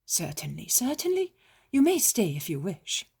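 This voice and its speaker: A woman voicing a thin, grey-haired old woman who speaks in high, wavering, breathy cries. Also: wildly swooping pitch, moderate pace, darkish tone, heavily acted. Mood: grudging. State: feverish and weak at first.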